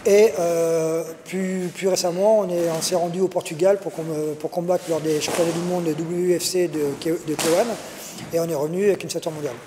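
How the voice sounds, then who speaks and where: A fairly high-voiced male coach talks through his club's results, pausing on fillers and restarting his sentences. He is recorded in a gym with a long booming reverb. A steady hiss sits clearly under him. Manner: hesitant, many fillers and restarts. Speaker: martial arts coach. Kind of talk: interview answers. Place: martial arts gym.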